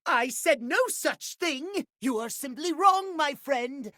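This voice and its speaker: A pompous, fussy gentleman, lively pitch. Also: slow pace, dry recording, studio quality, full character voice. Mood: haughty, dismissive. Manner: pompous, clipped old-fashioned phrasing. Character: prim, pompous punctuality-obsessed gentleman, fussy and condescending. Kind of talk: video game character voice acting.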